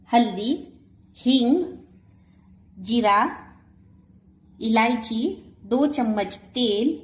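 A woman in her forties narrating a home cooking recipe step by step, calmly listing ingredients one at a time. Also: on the high side. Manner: calm, lists ingredients one by one. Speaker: cooking channel host. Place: home kitchen, echoey. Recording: echoey room with faint kitchen noise.

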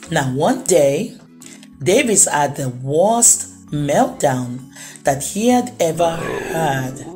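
A woman reading a children's book aloud as a storyteller, expressively and with deliberate, dramatic pauses. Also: deep voice, a little mellow.

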